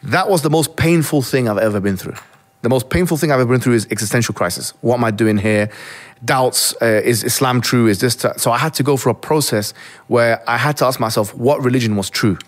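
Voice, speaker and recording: Young man from Egypt, interview guest, earnest and fast, keeps restarting. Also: medium pitch. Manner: earnest, reflective, with many restarts. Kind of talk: interview conversation.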